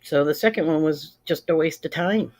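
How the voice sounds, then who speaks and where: A woman's voice: low-pitched, normal speed, a little mellow. Woman in her 50s just chatting to viewers on a livestream, blunt plain talk, says stuff twice to drive it home. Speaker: livestream host. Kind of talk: casual chat with live viewers.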